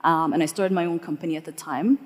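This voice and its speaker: A woman's voice: low-pitched, fairly even intonation, quick pace. A woman from eastern Saudi Arabia speaking on a conference panel, chatty and full of fillers. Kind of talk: panel discussion. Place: conference hall. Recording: hall reverb.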